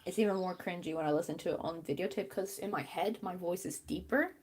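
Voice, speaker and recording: A woman's voice: fairly low pitch, fast. A young woman with a young-sounding voice, chatting casually and muttering to herself as she crochets. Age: young adult. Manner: chatty, talks to herself while working, mutters frustrations. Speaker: crochet channel host. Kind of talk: casual chat while crafting. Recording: music playing underneath.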